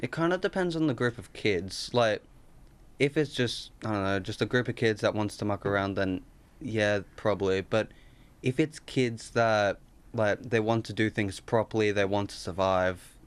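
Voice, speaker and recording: A man's voice: fairly low pitch, moderate pace, clear, resonant tone. A young man giving casual answers in an interview. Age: young adult. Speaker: drama student being interviewed.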